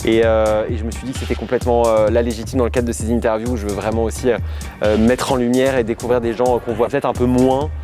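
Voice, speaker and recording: A man in a mid-range voice who hosts the interview, circling around his questions with lots of pauses and fillers. Soft music plays faintly underneath. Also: medium pitch, flat delivery. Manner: hesitant, many fillers, long winding questions. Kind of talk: casual interview.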